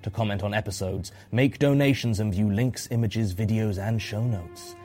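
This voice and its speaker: A man with a fairly low voice reads scripted podcast credits as an announcer. Music plays clearly behind him. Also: moderate pace, dark tone.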